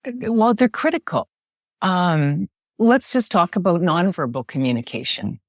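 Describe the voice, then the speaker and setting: A woman with a naturally loud voice, explaining communication ideas as a guest in an emphatic, animated way, often checking in with "right?". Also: lowish voice, a little slow, dry recording, clean studio sound. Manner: emphatic, animated, frequent tag question "right?". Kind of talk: podcast interview, explaining with examples.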